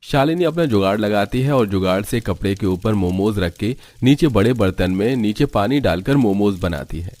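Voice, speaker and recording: A man's voice: on the low side, lively intonation, normal speed, hardly any pauses, darkish tone, faint music behind. A man delivering scripted narration as the storyteller of a cartoon tale.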